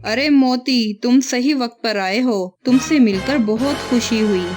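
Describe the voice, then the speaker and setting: A jovial, boastful male crow from a kids' cartoon, acted by a woman. He talks very slowly and effusively in a raised pitch, over clear background music. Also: natural voice. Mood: hearty, welcoming. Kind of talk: children's cartoon story acting.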